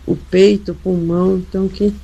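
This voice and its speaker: A hoarse Brazilian woman with a cold, calling in to a radio show to earnestly ask for prayer. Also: fairly low pitch, flat delivery, normal speed, mellow, lo-fi sound. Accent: Brazilian Portuguese. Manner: earnest, pleading. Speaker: caller. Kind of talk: call-in prayer request.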